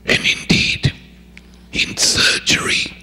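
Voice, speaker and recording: An older male physician giving a lecture in a hall, with a low, whispery voice made through a voice prosthesis and a halting delivery full of pauses. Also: pushed and bright. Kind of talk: medical grand rounds lecture.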